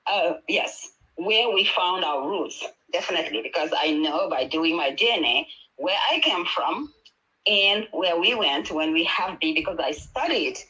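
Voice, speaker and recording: A woman's voice: lowish voice, expressive, measured pace, pushed and bright, poor audio. A woman from Senegal with a Senegalese accent, a native Wolof speaker, speaking earnestly on a panel, rambling with fillers and restarts over a video call. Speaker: panel speaker, midwife and healer. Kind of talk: conversational talk on a panel.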